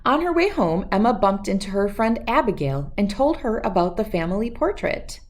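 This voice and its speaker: A woman reading a storybook aloud for children as host, expressive and speaking to listeners as friends. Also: medium pitch, normal speed.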